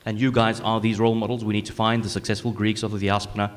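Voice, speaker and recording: A man's voice: on the low side, level tone, fast. A man from South Africa who runs a co-working space, giving informal remarks from the audience at a conference. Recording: echoey hall with long reverb.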